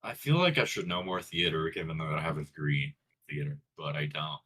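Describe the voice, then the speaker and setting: Low bright-voiced Colombian-accented man. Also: fairly quick, breathy edge. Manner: relaxed, rambling, self-interrupting. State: tired and chewing gum. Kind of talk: casual chat.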